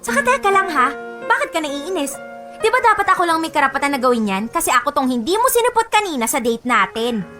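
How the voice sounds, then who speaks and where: A woman's voice: high voice, sing-song, quick pace. A woman acting a sulky young woman fed up with her boyfriend in a Filipino radio drama, complaining in a huffy, emphatic way. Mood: annoyed, sulky. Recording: music bed behind voice.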